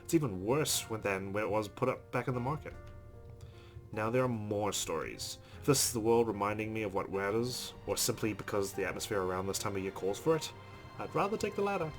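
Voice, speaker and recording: A man's voice: fairly low pitch, animated, a little fast, faint music behind. A man reading a visual novel aloud as dramatic narration for a let's-play.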